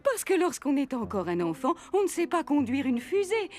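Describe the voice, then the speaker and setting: An amused, theatrical Christmas helper from a cartoon. The pitch is fairly high and leaps about wildly, with music behind it. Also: female voice, big pitch swings, moderate pace, a little mellow. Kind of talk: French children's cartoon acting.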